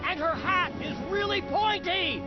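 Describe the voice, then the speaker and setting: Excitable female superhero, anxious and very high-pitched, game audio under loud music. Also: a little slow, dull audio. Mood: alarmed.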